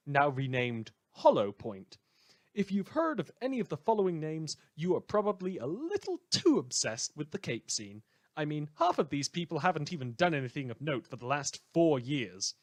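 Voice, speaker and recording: A man's voice: fairly high pitch, dry recording, clean studio sound. Man playing a theatrical, swooping, sardonic radio host. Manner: sarcastic, dramatic, emphatic. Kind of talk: scripted satirical radio news commentary.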